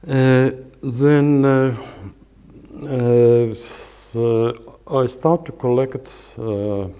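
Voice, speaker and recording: Slow, halting Estonian-accented man lecturing. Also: medium pitch, mellow. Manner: hesitant, many fillers and pauses. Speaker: guitar professor giving a lecture. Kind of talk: lecture with halting non-native English. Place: lecture hall at a music academy.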